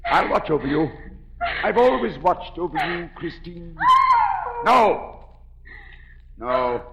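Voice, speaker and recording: An actor playing a lonely, obsessed masked phantom in an old radio drama, coaxing, possessive and brooding. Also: male voice, fairly high pitch, huge pitch swings, slow, creaky, a loud crowd, heavily acted. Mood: pleading, possessive. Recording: muffled, noisy old radio recording.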